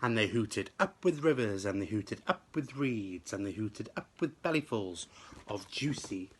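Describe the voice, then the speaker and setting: A man reading a children's storybook aloud like a teacher to pupils, with expressive, emphatic, rhythmic delivery. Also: fairly low pitch, unhurried, husky.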